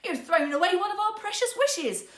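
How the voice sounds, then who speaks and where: A woman voicing a scornful, sharp-tongued, quick-witted wife in a fairytale, with theatrical exclamations. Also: very high voice, expressive, pitched above the performer's own voice. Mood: furious. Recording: roomy, echoing sound.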